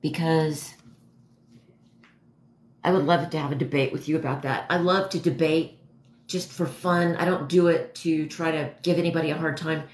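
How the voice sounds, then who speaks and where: A woman in her forties with a hoarse voice, chatting casually and haltingly as she answers viewers on a livestream. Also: low-pitched, fairly even intonation, darkish tone. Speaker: livestream host. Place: dim living room at home, indoors. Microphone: phone propped a step or two away. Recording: echoey room, phone at a distance.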